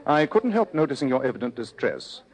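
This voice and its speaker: A self-assured English gentleman sleuth in an old radio drama. His mid-pitched voice moves in lively sweeps, and his speech is formal, exact and commanding. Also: a little fast, breathy edge. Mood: courteous, reassuring. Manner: formal, precise, authoritative.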